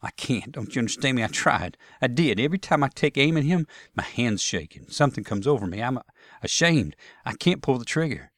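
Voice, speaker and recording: A man's voice: medium pitch, big pitch swings, a little fast, a bit bright, dry recording, studio quality, voice pushed up. A man voicing an ashamed, pleading young Confederate sharpshooter, stammering in a story reading.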